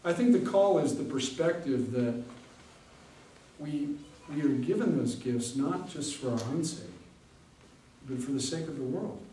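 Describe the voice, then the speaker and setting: A man, a pastor, giving a casual, conversational talk with many fillers and pauses. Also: a little high, expressive, warm tone, slight background noise. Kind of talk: casual lecture with audience Q&A. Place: large hall with audience. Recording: echoey hall from far off.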